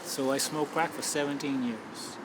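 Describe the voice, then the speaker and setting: An older Bahamian man in his seventies speaks candidly and thoughtfully, stopping and restarting as he searches for words. He is recorded outdoors on a city street, with loud traffic noise behind him. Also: medium pitch, fairly even intonation. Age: 70s. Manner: reflective, frank, halting with restarts. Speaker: interviewee.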